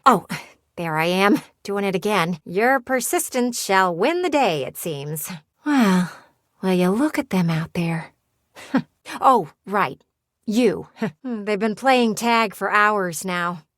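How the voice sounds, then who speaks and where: A living window in a video game, a teasing but wistful lady who likes to watch the world go by. Her delivery is theatrical and folksy, with lively swings in pitch. The mid-pitched voice sits close and dry, as in a studio booth. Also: slow, no room sound. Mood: distracted, amused. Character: talking window, flirty yet wistful, folksy female people-watcher. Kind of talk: video game character voice acting.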